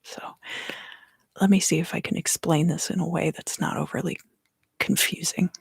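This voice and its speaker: A woman softly whispering an ASMR tarot reading as a livestream host, soothing and unhurried, in a roomy recording. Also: fairly low pitch, fairly even intonation, gravelly, slightly rough.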